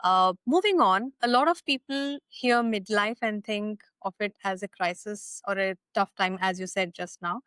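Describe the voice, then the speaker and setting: A woman's voice: medium pitch, normal speed, hardly any pauses, fairly bright tone, clean tone, dry recording. Woman podcast host, upbeat, welcoming, dry close sound. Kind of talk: podcast interview hosting.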